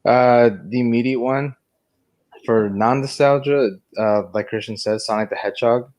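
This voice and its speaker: A man's voice: medium pitch, fairly even intonation, normal speed, darkish tone. A man co-hosting a casual chat, speaking in an enthusiastic, slangy way. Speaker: podcast co-host.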